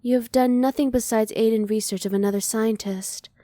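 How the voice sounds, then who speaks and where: A reserved female alien scientist, acted by a woman for an ASMR roleplay, close and very dry. The voice is mellow and soft, with careful, formal phrasing and a cool, curious restraint. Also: on the high side, steady tone, brisk, no echo, natural voice. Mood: composed, reassuring. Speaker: voice actor.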